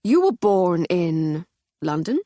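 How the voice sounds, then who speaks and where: A woman voicing a shrewd, polite male police inspector who asks slow, measured, probing questions. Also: on the low side, very animated, mellow, no echo, studio quality.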